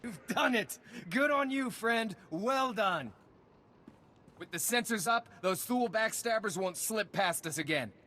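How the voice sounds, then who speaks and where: A man's voice: high-pitched, expressive, a little slow, bright, forceful tone, exaggerated voice. An actor playing a hearty, jubilant male soldier in a video game.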